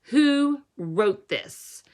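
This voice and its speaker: A woman's voice: fairly high pitch, expressive, extremely slow, darkish tone. Woman acting a pointed, bullying boy gang leader. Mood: accusing.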